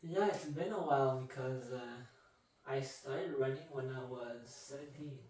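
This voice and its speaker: A man in his twenties from Canada, whose first language is Vietnamese, answering interview questions about running in a measured, reflective way. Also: medium pitch, a little mellow. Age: 20s. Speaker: guest, marathon runner. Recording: echoey room, mic from a distance.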